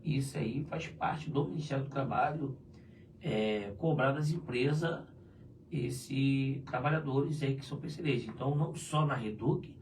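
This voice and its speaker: A hoarse man chatting loosely on a live union show, tagging his sentences with "né?". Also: medium pitch. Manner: loose and chatty, many "né?" tags. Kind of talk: casual live chat answering viewers. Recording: distant mic with faint room noise.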